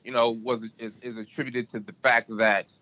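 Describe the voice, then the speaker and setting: Animated man telling stories, bright tone. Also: moderate pace, poor audio. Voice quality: can go deep. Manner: animated storyteller, emphatic. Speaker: podcast guest. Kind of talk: casual interview talk.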